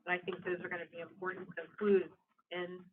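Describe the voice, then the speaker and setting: Brisk meeting chair calling votes. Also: medium pitch, level tone. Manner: brisk, firm. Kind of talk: presiding over a public meeting. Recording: tinny phone-like meeting audio with faint hiss.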